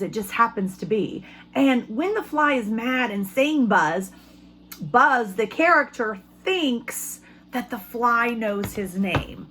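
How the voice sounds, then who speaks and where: A woman teacher giving an expressive read-aloud lesson for young children, pausing to ask them questions. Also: fairly high pitch, slight background noise, low-quality recording. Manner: expressive storybook reading. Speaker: elementary school teacher.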